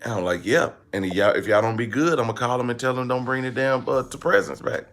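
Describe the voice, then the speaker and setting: Creaky-voiced American man, joking commentary. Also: mid-range voice, fast talker, faint music behind. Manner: animated, repeats phrases for emphasis; joking, slangy and blunt. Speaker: online commentary host. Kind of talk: casual comedic commentary.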